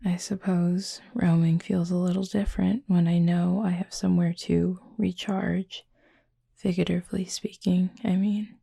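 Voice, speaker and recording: A woman acts out a courteous, softly curious cupid in an intimate audio roleplay. The voice is husky and breathy, steeped in gravelly creak, with a mellow tone. The phrasing is formal, measured and sincere. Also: lowish voice, little pitch movement, no room sound, studio quality, heavily acted. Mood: curious, reserved. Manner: polite, formal, measured, earnest. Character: gentle, formal, curious supernatural cupid roommate.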